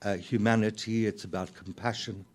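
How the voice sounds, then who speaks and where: Low-voiced South African man. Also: fairly even intonation, normal speed. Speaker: interview guest, author and lyricist. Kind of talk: reflective radio interview talk.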